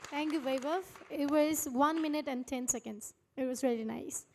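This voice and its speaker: A woman's voice: high voice, moderate pace. A woman moderating a live panel, addressing the speakers and putting questions to them.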